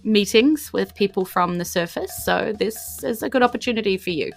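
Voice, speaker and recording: A woman voicing a regal but flustered undersea queen and mother in a tabletop game, imperious yet halting. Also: medium pitch, gravelly, faint music behind. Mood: formal, motherly.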